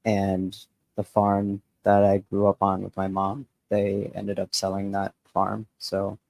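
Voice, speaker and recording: A man filmmaker at a festival Q&A, quietly and hesitantly recalling where he grew up. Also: lowish voice, monotone, slow pace, vocal fry, dry recording. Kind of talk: reflective panel answer. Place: festival screening venue.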